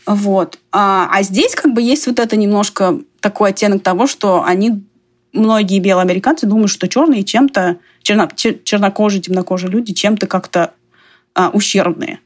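A woman's voice: mid-range voice, normal speed. A woman from Russia talking casually in a conversation, chatty and digressive, often laughing as she talks.

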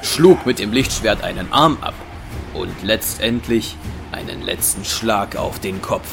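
A man narrating a space adventure audio drama as a serious storyteller, measured and dramatic. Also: fairly low pitch, gravelly, loud music behind the voice.

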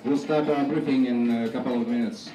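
A man's voice: medium pitch, fairly even intonation, fairly quick. Man, formal announcement, echoey room, far from mic, background noise. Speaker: race organizer. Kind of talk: briefing announcement. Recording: echoey, distant, noisy room.

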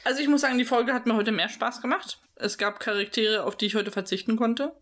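A woman's voice: fairly high pitch, fairly even intonation, a little fast, a bit bright. A young woman co-hosting a podcast, joking and teasing her co-host in casual chat.

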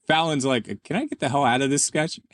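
A man's voice: medium pitch, a little fast, no echo. A man hosting a podcast, reacting to a sketch with laughter and broken-off sentences. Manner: laughing, animated, trailing off mid-sentence. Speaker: podcast host. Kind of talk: casual chat.

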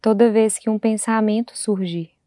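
A Brazilian woman guides a meditation with a dark, relaxed tone. She speaks gently and without hurry, leaving long silences between short phrases. The sound is very dry, with no room around the voice. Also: medium pitch, normal speed, no room sound.

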